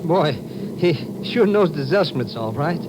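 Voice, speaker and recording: High-voiced, bumbling comic sidekick. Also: male voice, animated, a little slow. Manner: ungrammatical, slangy, bumbling. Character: naive, excitable male sidekick, slangy comic rogue. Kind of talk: old-time radio comic relief acting. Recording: noisy vintage radio recording.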